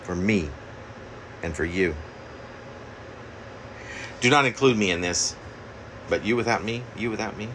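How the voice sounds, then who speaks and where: Low-voiced man reading poetry over steady hum. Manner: halting, repeats phrases incantatorily. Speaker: poet reading own work. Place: small room at home. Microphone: phone at arm's length.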